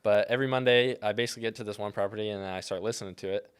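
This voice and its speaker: An American man with a fairly low voice talks quickly in a casual podcast chat. His delivery is loose and rambling, full of informal filler slang as he tells things as a guest. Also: fairly even intonation, clean tone. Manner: rambling, informal storytelling with frequent "like" and "dude".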